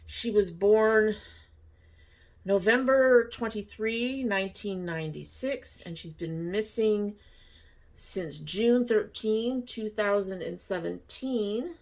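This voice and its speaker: A woman's voice: medium pitch, takes it slowly. A woman hosting her own channel in a chatty, informal way, sounding stuffy and sniffly from a sinus cold. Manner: chatty and informal, apologetic asides. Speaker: host. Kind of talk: casual talk-through while doing makeup.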